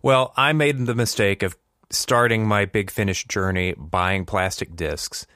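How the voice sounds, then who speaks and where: Low-voiced male podcast host, dry close sound. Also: a little slow, pushed and bright, dry recording. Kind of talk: conversational podcast hosting.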